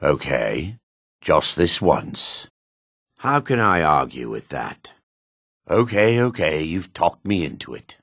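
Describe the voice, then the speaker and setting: A gruff dark elf for a fantasy game, his voice raspy and forceful. He is suspicious and curt, with a sneering edge and pitch that swings widely from line to line. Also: very animated, slow, no echo, studio quality, heavily acted. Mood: calm, dry. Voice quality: gravelly, raspy. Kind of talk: video game character barks.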